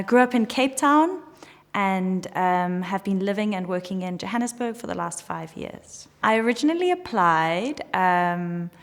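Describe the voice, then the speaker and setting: A young woman from South Africa, an artist talking thoughtfully in a documentary interview, with many pauses and fillers. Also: lowish voice, in a large hall. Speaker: artist in residence.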